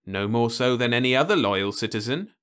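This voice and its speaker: A man's voice: mid-range voice, lively intonation, brisk, a bit bright, clean tone, pitched above the performer's own voice, natural voice. A man voicing an earnest young man insisting everyone is content, in a storybook reading.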